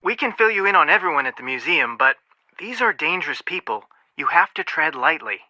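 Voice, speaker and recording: Earnest young male sleuth, fairly high for a man, very bright crisp edge, animated, level-headed, video game dialogue. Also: a little fast, no echo, dull audio, heavily acted. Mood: serious, warning.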